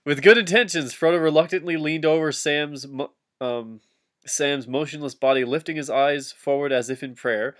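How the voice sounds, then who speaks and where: A man's voice: on the high side, normal speed. A man doing a comic dramatic reading of fan fiction, giggly and often breaking off to heckle the text with asides.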